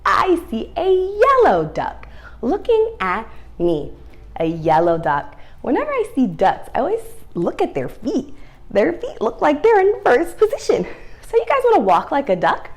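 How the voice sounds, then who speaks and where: A woman's voice: high voice, huge pitch swings, measured pace. A woman teaching young children through read-aloud and ballet, speaking in an encouraging, sing-song way with simple instructions and questions.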